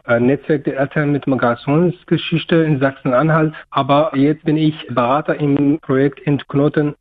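A man with a Syrian accent and Kurdish as his first language answers interview questions. His pitch stays very even as he explains things earnestly, hesitating to find his words. The sound is thin and narrow, like a phone line. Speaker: interview guest, counsellor at a migrant organisation.

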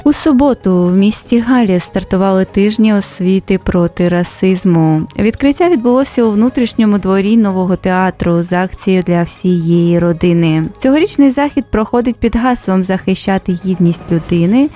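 Mellow-voiced woman presenter. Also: mid-range voice, normal speed, hardly any pauses. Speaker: presenter and interviewer. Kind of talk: scripted presenting and interviewing.

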